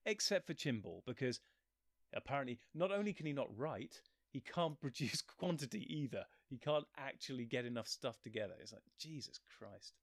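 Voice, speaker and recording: A man in casual, opinionated chat as a guest, griping in an animated, blunt and sweary way. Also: medium pitch, normal speed, no room sound, studio quality. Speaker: guest, fan-fiction author.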